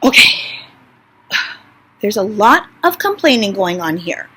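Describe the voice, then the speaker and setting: A woman's voice: medium pitch, sing-song, unhurried, bright, forceful tone, slightly rough, exaggerated voice. A woman playing an exasperated, overwhelmed Moses, incredulous and emphatic as the character rants at a complaining people in a storytelling lesson. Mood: overwhelmed, pleading.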